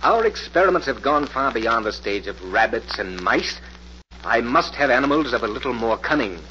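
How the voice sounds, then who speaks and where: A muffled, hissy old radio recording carries a mad scientist with a sharp, forceful voice. He is arrogant and cruel, declaiming his own greatness with theatrical, fanatical self-importance in vintage radio-play style. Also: medium pitch, expressive, moderate pace. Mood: haughty, defensive. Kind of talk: 1940s radio drama acting.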